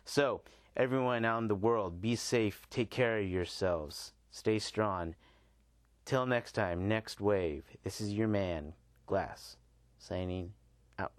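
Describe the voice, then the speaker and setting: A man hosts a podcast in a fairly low voice, with a very dry, close sound. His talk is casual and rambling, loose and slangy, as if chatting informally to listeners. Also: slow, dry recording. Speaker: podcast host.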